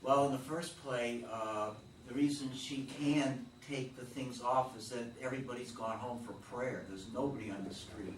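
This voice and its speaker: Man, playwright, calm explanations, roomy echo, audible background hum. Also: mid-range voice, normal speed, few pauses. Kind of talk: casual discussion. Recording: roomy and distant.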